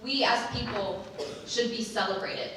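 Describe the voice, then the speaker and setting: A young woman's higher-pitched voice rings through a very echoey hall. She recites slam poetry with impassioned emphasis and deliberate dramatic pauses. The mic sits at a distance from her. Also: a bit bright, slight background noise. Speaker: student slam poetry performer. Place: large echoing room, live stage performance.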